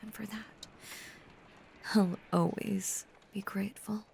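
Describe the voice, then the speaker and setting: Slow, whispering possessive werewolf woman, acted by a woman. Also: mid-range voice, steady tone, darkish tone, a little hiss or hum. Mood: devoted. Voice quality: breathy, whispery. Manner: slow, lingering, intimate delivery with long pauses. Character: possessive, obsessively devoted female werewolf lover. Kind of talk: intimate roleplay audio monologue.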